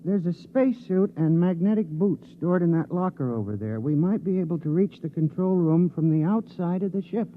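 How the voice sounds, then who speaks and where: An actor playing a mild, earnest bookkeeper who speaks haltingly, in 1950s radio drama style. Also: male voice, sits high, lively intonation. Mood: tentative. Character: mild, hesitant bookkeeper with quiet ingenuity. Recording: tinny, noisy 1950s radio recording.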